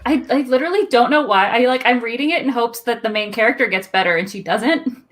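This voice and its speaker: A woman's voice: a little high, animated, quick pace, a bit bright. A woman chatting casually as a guest in a live book group, matter-of-fact and a little self-deprecating. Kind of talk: casual group chat about books.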